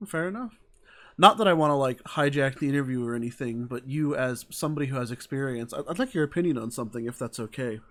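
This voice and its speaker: A man's voice: mid-range voice, expressive, fast. A man hosting an interview over a video call, casual and joking, with wry self-deprecating asides. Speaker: interview host. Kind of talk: casual chat.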